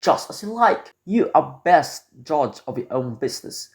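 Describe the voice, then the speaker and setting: A man's voice: a little high, very animated, unhurried, darkish tone. A man voicing a scheming, unhappy lord's wife in an amateur radio drama, with a coaxing, defensive manner.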